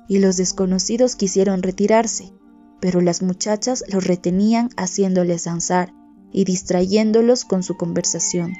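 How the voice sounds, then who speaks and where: A woman narrates a folk story in a soft, dark-toned voice. The recording is slightly dull, and music plays quietly behind her scripted reading. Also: mid-range voice. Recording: muffled audio with music underneath.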